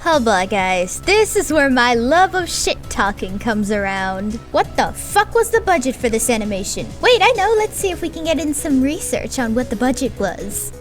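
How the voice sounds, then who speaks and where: A woman's voice: sits high, big pitch swings, normal speed, few pauses, over music. A woman giving a sarcastic, swearing anime review with mock-dramatic asides. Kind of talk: sarcastic video review commentary.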